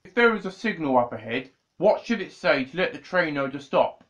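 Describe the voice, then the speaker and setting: Figure: a polite, formal older railway boss, voiced by a male narrator. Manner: brisk, businesslike. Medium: storybook. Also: fairly high pitch, very animated, a bit bright, dull audio.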